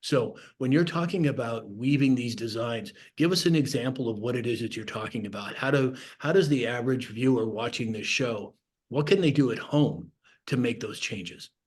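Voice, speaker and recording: A man's voice: mid-range voice, moderate pace, a little mellow, husky. A man with an American accent, talking conversationally as the host of an interview show. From United States.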